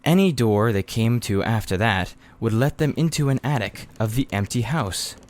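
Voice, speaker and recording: Man reading a storybook, medium pitch. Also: expressive, normal speed, darkish tone. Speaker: audiobook reader. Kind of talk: scripted storybook narration.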